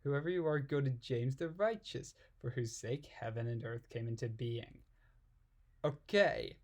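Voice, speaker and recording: A man's voice: mid-range voice, a little slow, a little mellow. A man reading aloud and commenting casually in an irreverent, giggly, puzzled manner. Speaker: amateur reader and commentator.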